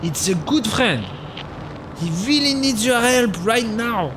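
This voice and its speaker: High, unhurried foreign cabbie. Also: male voice, expressive, heavily acted. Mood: helpful. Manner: non-native English, earnest, gently musing. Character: friendly, philosophical foreign taxi driver. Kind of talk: serial radio drama. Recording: clearly noisy street and car ambience.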